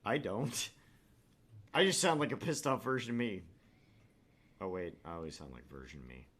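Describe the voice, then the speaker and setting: A man's voice: lowish voice, lively intonation, moderate pace, fairly bright tone. A man co-hosting a gaming channel, bantering casually and reading game text in a blunt, teasing way.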